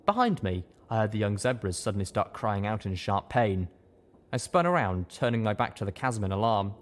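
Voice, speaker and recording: A man reading first-person fiction aloud with steady, dramatic storytelling. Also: lowish voice. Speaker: audiobook reader.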